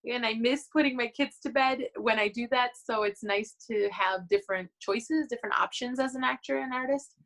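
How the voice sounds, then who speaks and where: A woman from Chicago, a theater artist and mother, talks thoughtfully and pauses on fillers. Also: fairly high pitch, fairly bright tone. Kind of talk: personal introduction.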